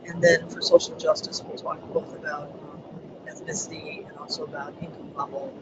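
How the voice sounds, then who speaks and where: A presenter running an online training session in a soft voice that doesn't carry far. The pitch rises and falls across a very wide range, and the talk stops often for ums. Heavy background noise sits under the computer-mic recording. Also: huge pitch swings. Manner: soft voice that does not carry, halting with many ums. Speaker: webinar presenter.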